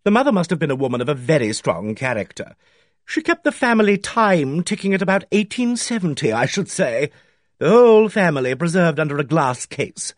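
A man reading aloud, voicing an effusive, fussy older male village gossip who talks in a theatrical, drawn-out way. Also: fairly high pitch, big pitch swings, no echo, studio quality, voice pushed up. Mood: gushing.

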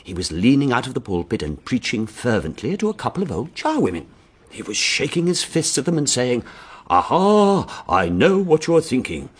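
Scholarly English clergyman, husky, hugely varied intonation, indulgent, theatrical, radio drama. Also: mid-range voice, wildly swooping pitch, normal speed. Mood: relishing storytelling. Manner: indulgent, donnish. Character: fond, scholarly vicar husband, theatrical storyteller.

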